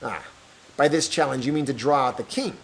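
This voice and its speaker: A man's voice: medium pitch, brisk, gravelly, lightly acted. A man playing a scheming bishop in disguise, smug and confident. His replies come terse and heartless.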